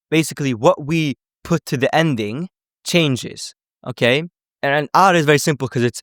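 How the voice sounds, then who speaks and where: Male language teacher, mid-pitched, informal explainer, conversational with frequent check-ins, very dry recording. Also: no echo. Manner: conversational, often checks in with okay and right.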